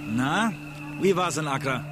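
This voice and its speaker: An actor playing a forensic investigator under pressure in a naturalistic radio play, speaking in a halting, exasperated way. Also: male voice, on the high side, lively intonation, over music. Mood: impatient, businesslike.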